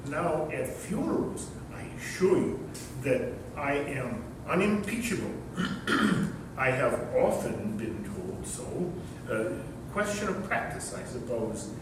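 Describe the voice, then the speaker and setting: A man playing a slow, pompous, stingy uncle. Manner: pompous, hesitant, formal. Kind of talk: readers theater stage comedy. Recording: echoey hall, distant mic, rumbling noise.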